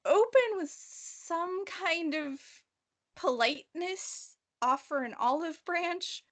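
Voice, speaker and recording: A woman's voice: very high-pitched, slow pace, a bit bright. A woman co-hosting a casual book discussion, explaining her opinions in a slangy, conversational way. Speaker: podcast co-host.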